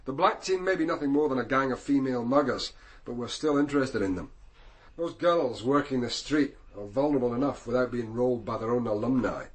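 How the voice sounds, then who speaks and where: A man playing a senior police detective in a radio crime drama, Scottish, with a measured, dry, authoritative manner. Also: mid-range voice, natural voice. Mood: brisk, dry.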